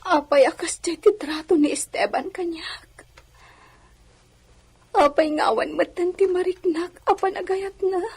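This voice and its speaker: A woman acting a gentle, mistreated young lady in a radio drama, plaintive and sighing. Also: very high-pitched, steady tone, measured pace, soft background music. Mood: hurt, tearful.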